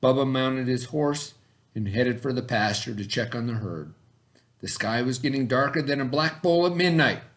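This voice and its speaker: A middle-aged man reading a picture book aloud to a class in a folksy storybook manner, pitching his voice up for the characters. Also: expressive, moderate pace. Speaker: teacher.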